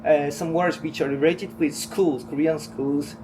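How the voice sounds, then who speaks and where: A middle-aged man, a teacher at a Korean school in Japan who grew up speaking Japanese, answers interview questions in careful, halting English full of pauses. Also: a little high, fairly even intonation, background noise. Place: school office.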